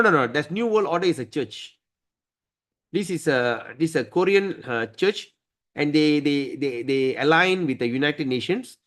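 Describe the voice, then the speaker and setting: A man teaching a scripture study class, emphatic and often asking his listeners "right?". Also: medium pitch.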